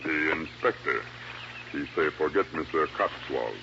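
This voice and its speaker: An actor playing a huge, loyal Haitian guide with a Haitian accent, speaking simple broken English in an old radio drama. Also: male voice, a bass voice, lively intonation, unhurried, vocal fry, exaggerated voice. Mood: calm, loyal. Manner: broken English, speaks of himself in third person. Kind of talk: 1950s radio drama acting. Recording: dull, noisy old radio recording.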